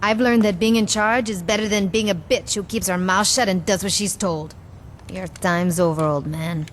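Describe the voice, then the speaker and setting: A woman's voice: medium pitch, fairly even intonation, normal speed, slight background noise, lightly acted. An actress playing a ruthless female street gang boss in a video game, cocky, foul-mouthed and sardonic. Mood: cold contempt. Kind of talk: dramatic video game cutscene acting.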